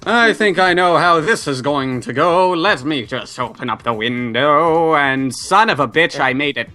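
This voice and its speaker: A man's voice: fairly high pitch, lively intonation, a little slow, pushed and bright. A man chatting casually and jokingly as a player in a tabletop game. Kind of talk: casual chat.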